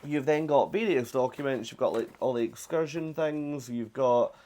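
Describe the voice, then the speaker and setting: A man's voice: mid-range voice, normal speed, dark tone. Young british guy vlogging on a ship balcony, chatty and upbeat, lots of ums. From Britain. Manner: chatty, upbeat, rambling with fillers. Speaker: travel vlogger. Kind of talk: casual vlog to camera. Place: cruise ship cabin balcony.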